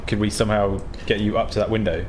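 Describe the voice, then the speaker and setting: A man's voice: lowish voice, little pitch movement, fast talker. Chatty man at a tabletop game. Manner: chatty, suggesting plans. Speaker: player. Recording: noisy podcast recording.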